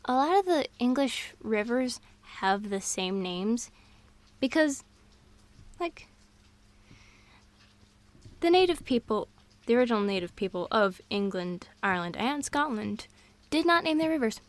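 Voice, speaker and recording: High-pitched woman, excitable rambling chatter. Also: unhurried, pauses often. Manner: scatterbrained, excitable, jumps between topics. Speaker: audio roleplay performer. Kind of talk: intimate girlfriend roleplay, rambling fun facts.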